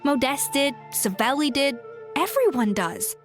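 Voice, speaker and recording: A woman's voice: high-pitched, very animated, soft background music, exaggerated voice. A woman voicing a proud, perfectionist young master chef in a video game, blunt and assertive as she lectures. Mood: exasperated, emphatic. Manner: brisk, assertive, exasperated lecturing.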